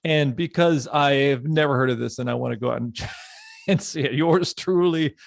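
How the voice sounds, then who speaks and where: A man's voice: fairly high pitch, moderate pace, gravelly, no room sound, studio quality. A man hosting a casual podcast chat in an enthusiastic, effusive manner. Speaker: podcast host.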